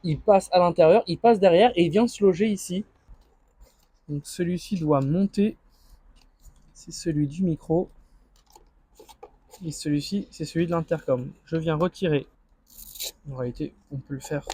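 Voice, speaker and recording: A man's voice: on the high side, normal speed, long pauses, dark tone, breathy edge, no echo. A man speaking informally as a vlogger, thinking aloud through an improvised unboxing and installation commentary. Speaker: motorcycle vlogger.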